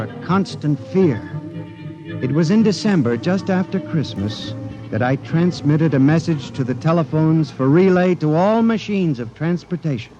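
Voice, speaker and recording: A man's voice: medium pitch, dull audio, natural voice. An actor in a 1950s radio drama playing a frantic, paranoid accused man, agitated, pleading and intense. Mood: haunted, confessing. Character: frantic, paranoid accused man convinced machines are alive. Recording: band-limited 1950s radio recording, music behind.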